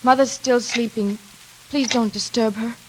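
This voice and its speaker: An actress playing an anxious, trapped daughter in a 1940s suspense radio drama, tense and dramatic, halting when flustered. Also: female voice, a little high, fairly even intonation, slight background noise, natural voice, low-quality recording. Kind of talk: 1940s radio acting, first-person suspense narration. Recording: vintage radio drama with music behind.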